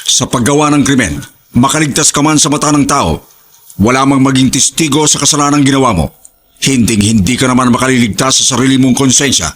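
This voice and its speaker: A man's voice: mid-range voice, fairly quick, fairly bright tone. A man narrating a scripted crime story in a solemn, ominous, dramatic way.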